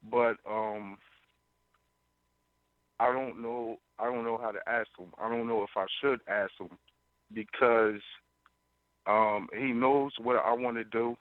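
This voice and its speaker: A man in his twenties from the United States calling in to a talk show by phone, speaking haltingly and hesitantly as he asks for advice. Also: medium pitch, no room sound. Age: 20s. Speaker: caller. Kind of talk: phone-in conversation seeking advice. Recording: tinny narrow phone line.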